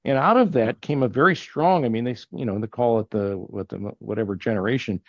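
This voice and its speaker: A man's voice: mid-range voice, fairly quick, no room sound. Older Texan man, earnest interview guest, rambles and restarts; dull, dry line. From Texas. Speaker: podcast guest, family banking partner. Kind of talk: casual interview conversation.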